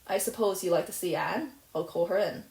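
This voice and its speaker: A woman's voice: fairly low pitch, fairly quick, creaky, a little mellow, echoey room, rough recording. A woman reading aloud, voicing a stern, dry-spoken middle-aged spinster. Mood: dry, firm. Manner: dry, firm, matter-of-fact.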